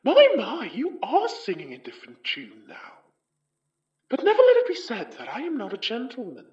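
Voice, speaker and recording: A woman's voice: fairly high pitch, wildly swooping pitch, normal speed, a little mellow, recorded from a distance. A woman playing an arrogant, mocking aristocratic spirit with a British accent in a horror audio drama, pompous and taunting. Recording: dull, roomy, off the mic.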